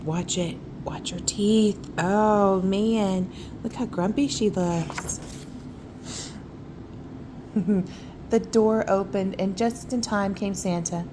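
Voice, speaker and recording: A woman's voice: mid-range voice, steady tone, takes it slowly, long pauses, background noise. A woman reading a children's picture book at bedtime, adding folksy, cooing asides about the pictures. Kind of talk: bedtime read-aloud with casual asides.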